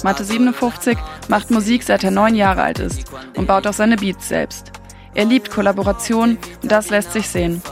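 A woman radio reporter, reading a scripted news feature in a clear, steady way. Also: medium pitch, moderate pace, over music.

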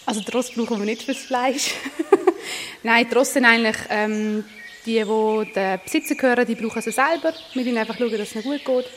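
A woman's voice: on the high side, brisk, fairly bright tone, in a large hall, slight background noise. A Swiss woman in her twenties, a farm manager, answering an interview with many hesitations. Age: 20s.

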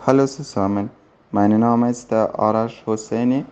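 Hesitant, dark-voiced man from Afghanistan. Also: lowish voice, steady tone, clear, resonant tone. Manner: hesitant, with filler pauses. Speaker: community member. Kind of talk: prepared personal statement.

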